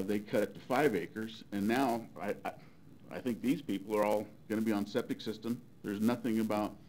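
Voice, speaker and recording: An older man, a resident thinking aloud haltingly as he gives casual public comment at a podium microphone. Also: fairly low pitch, darkish tone, low-quality recording. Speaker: resident speaking at a public hearing. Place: council chamber, indoors. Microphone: gooseneck podium microphone. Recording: faint background noise, public meeting room.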